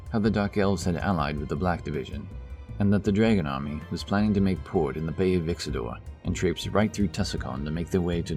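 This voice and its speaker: A man narrating a fantasy audio story in a steady, low-key way.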